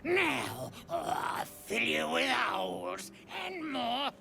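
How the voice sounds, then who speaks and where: A gravelly little game goblin, snarling with gleeful menace in broken speech. The delivery is slow and swoops wildly between low growls and shrieks. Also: big pitch swings, noisy background, exaggerated voice. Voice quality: gravelly, creaky. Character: small vicious red-capped goblinoid creature, foul-mouthed and bloodthirsty. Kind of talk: video game character acting.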